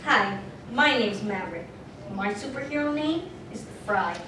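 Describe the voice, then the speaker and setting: A woman's voice: mid-range voice, lively intonation, normal speed, bright, forceful tone. A woman voicing an earnest, proud eight-year-old boy in a live comedy read. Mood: eager, earnest. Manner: eager, sincere, childlike. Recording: echoey hall, distant mic, background noise.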